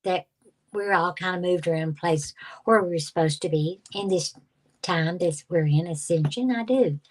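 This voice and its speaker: Older woman telling a slow reflective story. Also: lowish voice, poor audio. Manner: reflective storytelling with many restarts. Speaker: guest psychic reader. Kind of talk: casual conversation.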